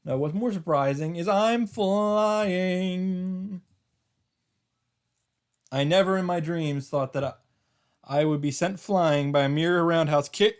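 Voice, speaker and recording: A man reading a first-person story aloud in a theatrical, halting way, with dramatic emphasis. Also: high-pitched, smooth. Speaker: streamer reading a visual novel aloud.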